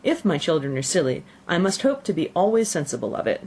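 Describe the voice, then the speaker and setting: Woman reading as a cool, sardonic gentleman father. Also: on the low side, breathy edge, heavily acted. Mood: dry, teasing. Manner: cool, measured, deadpan. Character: dry, sardonic middle-aged gentleman father. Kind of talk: audiobook character reading.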